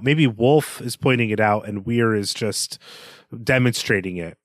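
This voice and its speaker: A man's voice: medium pitch, no echo, clean studio sound. A man co-hosting a podcast, analysing a book reflectively and restating his points as he goes. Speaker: podcast co-host.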